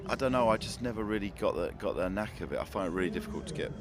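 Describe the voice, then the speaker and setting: A man who sings in a band, with a husky voice, answering questions outdoors in a halting, thoughtful way. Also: sits low, lively intonation, a crowd in the background. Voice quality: husky from singing. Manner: halting, thoughtful, restarts often. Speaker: band singer. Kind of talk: interview answers. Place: outdoors at a music festival.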